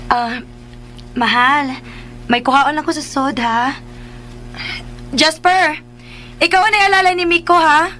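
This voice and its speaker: A woman's voice: high voice, steady tone, slow pace, pauses often, sharp tone, a little hiss or hum, exaggerated voice. A woman playing a caring, puzzled younger woman in a radio drama, hesitant and confiding as she speaks. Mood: gentle, hesitant.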